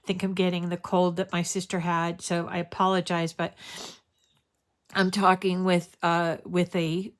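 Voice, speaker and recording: A woman's voice: fairly low pitch, little pitch movement, unhurried. A woman hosting a crafting tutorial, talking in a chatty, rambling way while stuffed up with a cold. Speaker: crafting show host.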